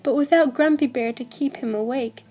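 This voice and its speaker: A woman's voice: high voice, normal speed, mellow. A woman reading a children's picture book aloud as scripted narration. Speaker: volunteer storybook reader.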